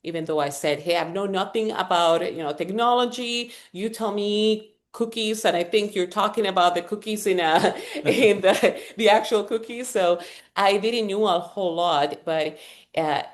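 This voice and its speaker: A woman's voice: mid-range voice, normal speed. A woman in her forties from Puerto Rico, a Spanish speaker with a Puerto Rican accent, talking as a podcast guest with plenty of fillers and restarts. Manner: rambling with many fillers and restarts. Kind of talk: conversational interview.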